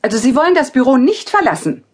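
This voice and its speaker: An actress playing an alarmed, stubborn secretary in a German radio play, dramatic and halting. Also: female voice, high-pitched, big pitch swings, darkish tone, breathy edge, full character voice. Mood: suspicious, indignant. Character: alarmed, stubborn secretary troubled by nightmarish visions.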